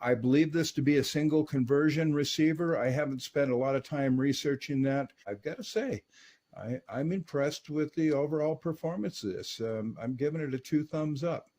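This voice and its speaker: A man casually reviewing and testing a vintage radio in his workshop, relaxed and peppered with filler words. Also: a little high, normal speed.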